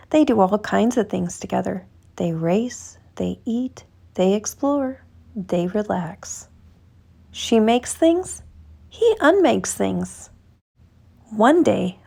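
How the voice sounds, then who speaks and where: A woman reading a children's picture book aloud in an expressive, deliberate storytelling manner, stretching words for emphasis. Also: a little high, gravelly. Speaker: storytime reader.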